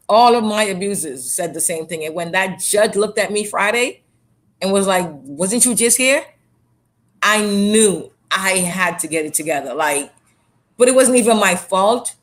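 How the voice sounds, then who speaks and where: Blunt Trinidadian woman author speaking. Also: mid-range voice. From Trinidad and Tobago. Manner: blunt, emphatic, repeats phrases for emphasis. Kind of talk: candid interview talk.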